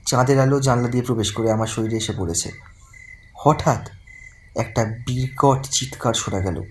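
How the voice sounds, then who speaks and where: A man's voice: medium pitch, moderate pace, background noise, lightly acted. A man playing a frightened young man who tells a horror story in dramatic, suspenseful first-person narration. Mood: fearful suspense. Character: frightened young man recounting his haunted-house visit. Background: music.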